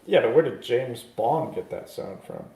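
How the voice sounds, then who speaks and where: A man in a casual film discussion, speaking in a halting, self-correcting way in a roomy space. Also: medium pitch, lively intonation, gravelly, warm tone. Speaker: film review show panelist. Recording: roomy echo with faint noise.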